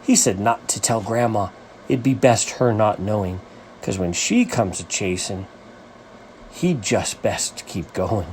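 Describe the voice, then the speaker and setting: A man reading a rhyming children's story as its author, with an animated, sing-song rhythmic delivery. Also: fairly low pitch, a little slow, a little hiss or hum.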